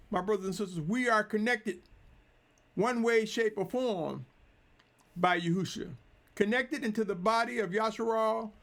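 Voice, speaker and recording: High-voiced man preaching emphatically. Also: fairly bright tone. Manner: deliberate, emphatic preaching with drawn-out phrases. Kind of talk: sermon.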